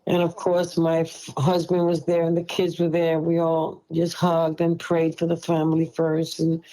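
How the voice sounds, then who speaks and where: A woman's voice: low-pitched, completely flat delivery, normal speed, mellow, poor audio. A woman in her seventies with a Brooklyn accent, telling her own story as a podcast guest in a heartfelt, rambling way. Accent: Brooklyn New York. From Brooklyn, New York. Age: 70s. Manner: emotional, heartfelt, rambling with restarts. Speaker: guest, liver transplant recipient. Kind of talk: casual personal storytelling.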